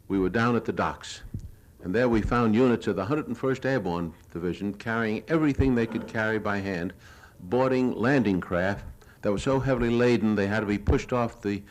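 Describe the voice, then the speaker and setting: Older male, American, lowish voice. Reporter's measured, plain-spoken lecture narration of war film, archival 1970s recording. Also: low-quality recording. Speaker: newsreel reporter giving a lecture.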